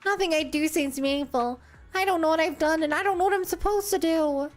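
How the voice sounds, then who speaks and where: A woman's voice: extremely high pitch, a little fast, faint music behind. A woman voicing a forlorn, empty-headed little robot helper from a video game, naive and earnest.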